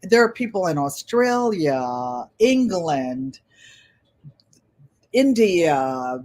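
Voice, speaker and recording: Gentle-voiced woman in her seventies. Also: low-pitched, big pitch swings, very slow. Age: 70s. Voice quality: gentle, pleasant voice. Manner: chatty, rambling, repeats phrases. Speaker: channel host. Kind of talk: casual livestream chat.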